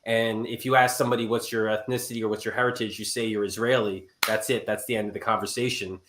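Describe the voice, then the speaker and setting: A man from America, a writer and guest, talking casually and explaining his views in measured steps. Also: fairly low pitch, level tone, echoey room, background noise. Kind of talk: casual live-stream chat.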